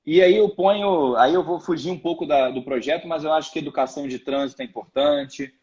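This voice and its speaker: A man's voice: fairly high pitch, brisk, a little mellow. A man speaking as a guest in a live online interview, explaining his views hesitantly with many restarts. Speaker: guest, financial education project member. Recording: muffled video call audio.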